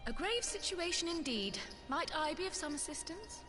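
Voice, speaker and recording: A woman's voice: very high voice, very animated, normal speed. An actress voicing a kindly, self-assured woman mentor in a video game cutscene, with genteel, old-fashioned formal wording. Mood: composed, helpful. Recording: game audio with music behind.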